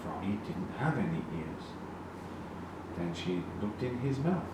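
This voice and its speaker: Man, children's storybook narrator, slow expressive delivery, emphatic sound words, distant noisy recording. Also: fairly low pitch. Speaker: storybook reader. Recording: very echoey, distant, noisy room.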